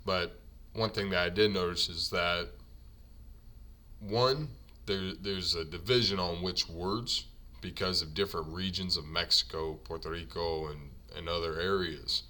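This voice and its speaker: Slow, deep-voiced man teaching trade words. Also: fairly even intonation, sharp tone. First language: English. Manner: repeats each term slowly for learners. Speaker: ironworker union instructor. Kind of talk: slide-based vocabulary lesson.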